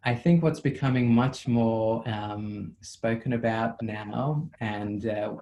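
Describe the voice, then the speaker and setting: A psychotherapist with a South African accent, answering interview questions in a measured, reflective way over a video call, with frequent ums. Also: male voice, mid-range voice, dark tone, muffled recording.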